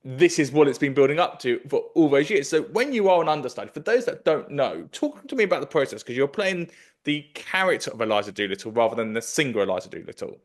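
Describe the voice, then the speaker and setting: A man in his thirties hosts a podcast interview with a medium-pitched voice. He asks long, casual questions. He speaks into a desk microphone in his kitchen at home. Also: big pitch swings, fast. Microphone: desk microphone and headphones.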